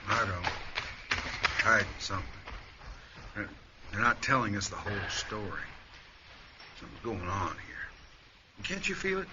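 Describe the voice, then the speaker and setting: A suspicious astronaut, animated, noisy recording. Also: male voice, fairly low pitch, measured pace, long pauses, dull audio. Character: suspicious, uneasy male astronaut. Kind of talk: radio play acting.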